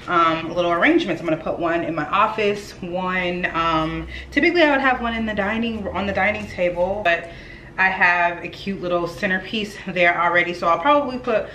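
A woman's voice: on the low side, a little fast, bright, forceful tone, a little hiss or hum. A young woman vlogging at home, chatting casually to viewers as she cleans and arranges flowers. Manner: chatty, addresses viewers as y'all. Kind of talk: casual vlog chat. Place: at home, echoey rooms. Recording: echoey home room, faint ambience.

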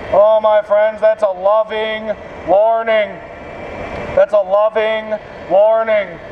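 A man's voice: high-pitched, little pitch movement, slow pace. A man preaching an open-air evangelistic sermon through a bullhorn in a solemn, declamatory, repetitive manner, outdoors on a city street. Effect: amplified through a bullhorn. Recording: dull bullhorn sound with street traffic noise. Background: street and vehicle noise.

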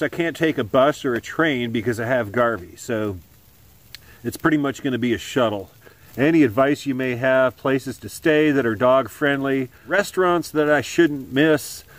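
A middle-aged man hosting a hiking vlog, talking to the camera under a tarp outdoors in the woods in a relaxed, chatty way with lots of "uh"s. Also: medium pitch, dry recording. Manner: relaxed and chatty, many filler "uh"s. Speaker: hiking vlogger. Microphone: camera at arm's length.

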